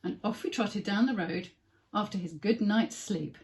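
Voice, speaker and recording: A woman's voice: mid-range voice, moderate pace, a little mellow, slight background noise. A woman reading a picture book aloud to young children with simple phrasing and dramatic emphasis. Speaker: early years story reader.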